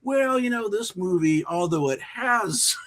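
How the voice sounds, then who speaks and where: A man's voice: high-pitched, animated, a little slow, fairly bright tone. Casual, self-deprecating man in conversation. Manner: informal, self-deprecating, anecdotal. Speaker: podcast guest, indie filmmaker.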